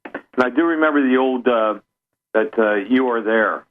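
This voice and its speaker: A man's voice: medium pitch, moderate pace, slight background noise. Male caller on a tinny phone line. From Pennsylvania. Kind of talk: casual phone-in chat.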